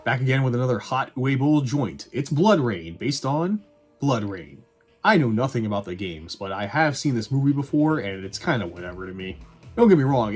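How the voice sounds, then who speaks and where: A man with a husky voice giving casual, scripted movie review commentary in a sarcastic, irreverent, sweary manner. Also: lowish voice. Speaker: video reviewer.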